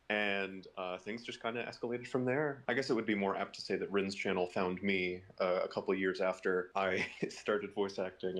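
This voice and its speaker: A man on a voice actor panel talking earnestly about how he started acting. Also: on the low side. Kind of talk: casual panel chat.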